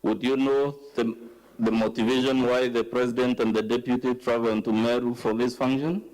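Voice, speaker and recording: A Kenyan man acting as counsel, asking deliberate, leading questions at a formal hearing. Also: medium pitch, level tone, darkish tone, rough recording. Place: legislative chamber hearing.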